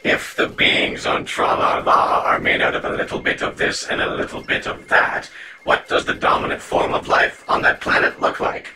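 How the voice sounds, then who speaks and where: An actor playing a skeptical, sarcastic alien caller who argues with scornful disbelief in comic sci-fi audio theatre. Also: male voice, normal speed, pushed and bright, a little hiss or hum. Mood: skeptical, probing. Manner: incredulous, argumentative.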